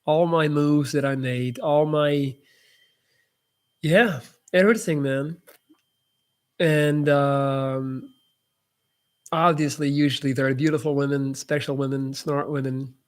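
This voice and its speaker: A man's voice: on the high side, fairly even intonation, slow pace, long pauses. A young man with Dutch as his first language, hosting a casual live Q&A and reading viewer messages aloud with loose, restarting answers. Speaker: livestream host answering viewer questions.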